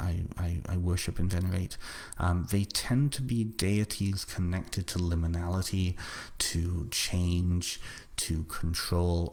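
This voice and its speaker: Author host, male, low register, faint North Welsh lilt, hesitant casual delivery. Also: darkish tone. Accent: slight North Welsh. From North Wales. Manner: halting, repeats words, many fillers. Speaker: author hosting a book launch livestream. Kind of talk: casual live stream talk, answering comments.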